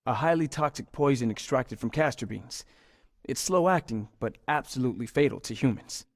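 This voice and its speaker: A man's voice: mid-range voice, expressive, normal speed, no room sound, clean studio sound, natural voice. An actor playing an earnest university scientist in a video game, polite and a little hesitant as he explains things. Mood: grave. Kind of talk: video game dialogue acting.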